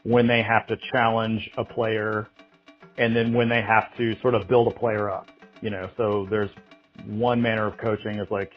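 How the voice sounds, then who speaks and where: A man in his thirties speaking as an interview guest, halting and reflective, restarting his sentences often. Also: lowish voice, little pitch movement, muffled recording, faint music behind. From United States. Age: 30s. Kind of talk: candid interview.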